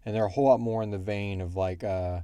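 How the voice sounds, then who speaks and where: A man's voice: on the low side, steady tone, quick pace, warm tone, clean tone, no echo. A man chatting casually as he explains story lore in a rambling way, like a creator answering listeners. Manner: rambling, quotes characters in brief asides.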